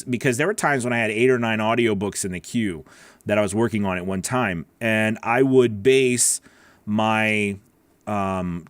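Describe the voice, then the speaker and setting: A man's voice: mid-range voice, no echo. A man hosting a casual livestream Q&A as a voice-over coach, rambling with many fillers and restarts.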